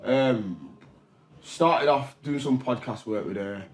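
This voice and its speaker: A man hosting a podcast, talking casually with lots of slang, repetition and loud laughter. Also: mid-range voice, animated, takes it slowly. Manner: casual slang-heavy, laughs loudly, repeats himself. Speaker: podcast host. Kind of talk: casual interview chat.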